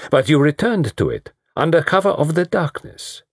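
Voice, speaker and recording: A man voicing a fussy, commanding detective, pompous and precise, in a slightly husky voice. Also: a little high, very animated, moderate pace, dry recording, studio quality, pitched above the performer's own voice. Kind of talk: audiobook reading.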